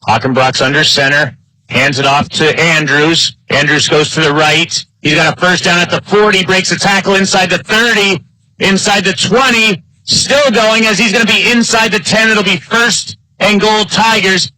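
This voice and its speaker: A man announcing live football play-by-play in clipped phrases, his excitement building on big plays. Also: sits high, moderate pace, no room sound, poor audio. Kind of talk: live sports play-by-play commentary.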